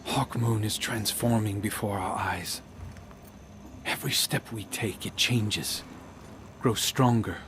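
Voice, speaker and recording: An actor playing a troubled, brooding scout haunted by dreams, speaking in a hushed, halting way in a video game. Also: male voice, lowish voice, music in the background. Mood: troubled, wondering. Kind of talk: dramatic video game dialogue.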